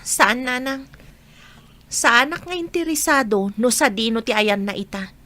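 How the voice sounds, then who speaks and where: A woman's voice: a little high, normal speed, sharp tone. A woman playing a patient but hurt young mother, earnest in manner, with an Ilocano accent in a radio drama.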